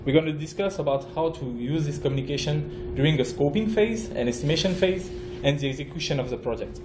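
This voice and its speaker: A man's voice: a little high, noisy background. French-accented man presenting in an echoey room. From France. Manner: halting, frequent fillers and restarts. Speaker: project manager, conference speaker. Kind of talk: conference presentation. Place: conference room.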